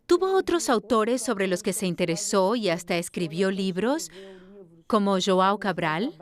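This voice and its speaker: A woman's voice: medium pitch, animated, a little slow. A woman interviewing a scholar, asking engaged, probing questions. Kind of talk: Spanish voice-over of an interview.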